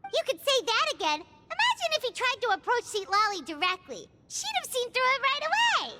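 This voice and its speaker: A woman voicing a tiny, cheerful, inquisitive companion creature in a game cutscene, with a squeaky childlike voice. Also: huge pitch swings, fairly quick, very noisy, exaggerated voice. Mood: curious, concerned. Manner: chirpy, inquisitive. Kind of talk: animated video game cutscene acting. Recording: loud music under the voice.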